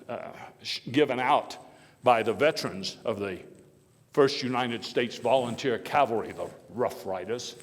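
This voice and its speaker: A man playing a genial, self-deprecating old statesman who tells stories in a halting, folksy after-dinner speech. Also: mid-range voice, natural voice. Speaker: historical reenactor giving a speech. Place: large indoor hall before an audience. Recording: echoey hall, noisy live recording.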